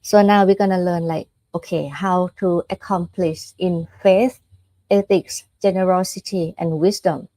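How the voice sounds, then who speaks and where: Thai-accented woman, native Thai speaker, soft-edged mellow tone, informal Buddhist teaching, conversational, dry close sound. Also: fairly low pitch, fairly even intonation, a little slow, no echo. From Thailand. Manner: conversational, explains step by step with frequent check-in questions. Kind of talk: informal dharma talk.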